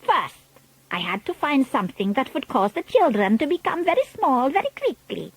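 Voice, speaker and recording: A haughty old witch queen, high voice, wild swoops, broken grammar, dry sound. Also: sing-song, moderate pace, no room sound, low-quality recording. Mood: scheming. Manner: grandiose, broken grammar, commanding. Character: scheming, pompous old female witch leader. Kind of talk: storybook reading.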